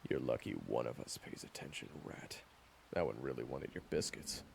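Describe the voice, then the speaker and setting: A man's voice: rumbling low, normal speed, creaky, slight background noise, pitched below the performer's own voice, exaggerated voice. A man voicing an irritable, squeamish, sarcastic gunslinger in an audio roleplay. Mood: irritated. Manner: snappish, sarcastic. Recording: roomy hall reverb, music underneath.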